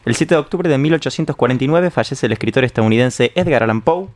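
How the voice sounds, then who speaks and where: Rapid man reading dates. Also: medium pitch, lively intonation. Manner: brisk, matter-of-fact listing. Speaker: radio co-host reading anniversaries. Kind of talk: reading a list of dates on air.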